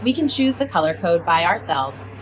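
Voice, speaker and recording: A woman's voice: lowish voice, big pitch swings, moderate pace, background noise. A woman giving step-by-step instructional narration as a product demonstrator.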